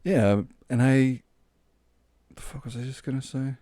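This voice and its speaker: A young man chatting casually and relaxed with a friend on a podcast, laughing along often. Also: mid-range voice, a little slow, dry recording, clean studio sound. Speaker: podcast co-host. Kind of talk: casual chat.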